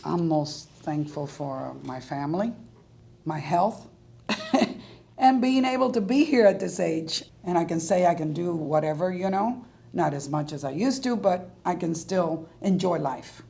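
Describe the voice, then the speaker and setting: Sixties woman with a gravelly voice. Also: fairly low pitch, lively intonation, a little slow, mellow. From Cuba. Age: 60s. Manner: chatty, laughs easily. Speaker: senior interview participant. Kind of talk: casual conversation.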